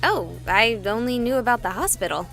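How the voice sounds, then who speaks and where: A woman playing a goofy, excitable young livestreamer in a visual novel, chatting playfully with exaggerated enthusiasm. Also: fairly high pitch, moderate pace, creaky, soft background music. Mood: friendly, evasive. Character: excitable, goofy young female livestreamer exploring haunted places.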